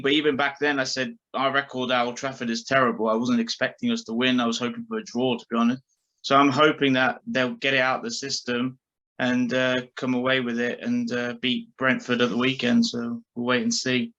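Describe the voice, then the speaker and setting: A man's voice: medium pitch, pushed and bright, highs cut off. A man giving his opinions as a panellist in a casual football chat. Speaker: podcast panellist.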